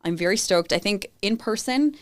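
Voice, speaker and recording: A woman's voice: medium pitch, lively intonation, moderate pace. A woman hosting an in-person podcast interview, upbeat and enthusiastic as she introduces her guest. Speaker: podcast host. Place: co-working space conference room.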